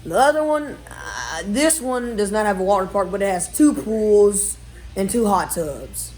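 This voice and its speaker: A man's voice: high voice, very animated, unhurried, darkish tone. A man rambles through a casual vlog walkthrough in a condo kitchen, full of fillers and restarts. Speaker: vlogger. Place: indoor condo kitchen by a running washer. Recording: noisy with running water and appliance sounds.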